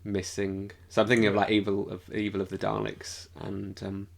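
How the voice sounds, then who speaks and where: A man's voice: on the low side, completely flat delivery, normal speed, vocal fry. A man co-hosting a podcast, chatting casually about a TV show and its fandom in a playful, wry manner. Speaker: podcast co-host.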